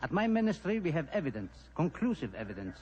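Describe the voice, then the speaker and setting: Grave sinister police minister, very formal, voice rises and falls a lot, dull old radio sound. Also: male voice, a little high, very animated, darkish tone. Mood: grave, menacing. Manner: formal, deliberate, ominous. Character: solemn, menacing Balkan police minister, smooth lady killer. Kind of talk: old-time radio drama acting. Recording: muffled old radio recording.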